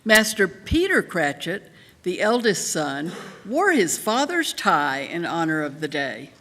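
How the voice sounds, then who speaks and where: A woman's voice: lowish voice, big pitch swings, measured pace, fairly bright tone. A woman narrating a Victorian tale in a live dramatic reading. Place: live in a church. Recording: reverberant hall.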